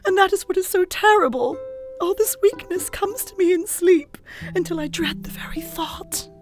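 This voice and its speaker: An actress playing a frail, trusting young Victorian lady patient in a radio play, plaintive and halting. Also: very high-pitched. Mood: tearful, frightened. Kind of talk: dramatic radio play acting. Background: soft guitar music.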